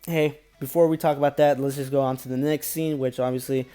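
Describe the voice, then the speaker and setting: Male reviewer, medium pitch, mellow tone, casual unscripted recap, rambling and filler-heavy, light music underneath. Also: fairly even intonation, a little fast. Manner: rambling, repetitive filler phrases, stumbling over names. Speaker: anime episode reviewer.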